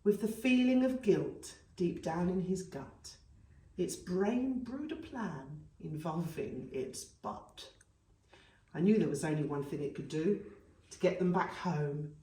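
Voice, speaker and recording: A woman reading a children's story aloud as a nursery storyteller, in an animated, dramatic way for young listeners. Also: on the low side, takes it slowly. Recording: echoey room, distant mic.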